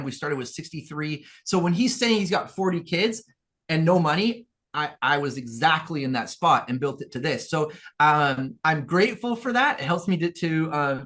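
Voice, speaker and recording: Earnest man, slightly high voice. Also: a bit bright. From Peru. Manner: earnest, rambling with repeated restarts. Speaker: guest, business owner. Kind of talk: casual interview storytelling.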